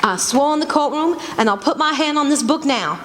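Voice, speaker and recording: A woman's voice: sits high, expressive, fairly quick, a bit bright, a little hiss or hum. A woman playing a hard, bereaved older mother with blunt, folksy speech in a radio drama. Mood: bitter, accusing. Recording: echoey hall stage recording.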